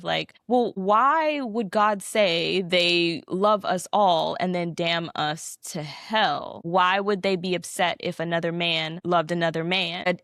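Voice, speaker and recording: Young adult woman guest, reflective and animated, lower pitch, dry mic. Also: no echo. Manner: animated, voice jumps up when quoting her younger self. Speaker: podcast guest. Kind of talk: reflective conversational storytelling.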